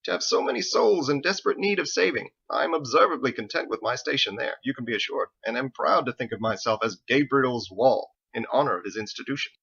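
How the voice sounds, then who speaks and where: A man's voice: mid-range voice, animated, brisk, creaky, few pauses, fairly bright tone, husky, dull audio, full character voice. A man voicing a pompous, verbose, prim young paladin churchman in an audiobook, with florid, fussy, over-formal diction. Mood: effusive, self-satisfied. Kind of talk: audiobook character voice.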